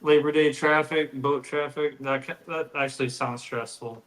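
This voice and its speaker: A man's voice: fairly high pitch, fairly even intonation, normal speed, a bit bright, roomy sound. Easygoing, tired man rambling casually. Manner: easygoing, rambling, says "dude". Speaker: co-host of a live stream. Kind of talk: casual chat.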